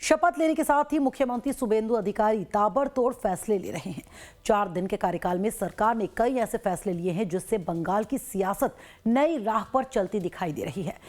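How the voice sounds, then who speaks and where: A woman's voice: mid-range voice, moderate pace, darkish tone. A woman reading scripted news as an anchor.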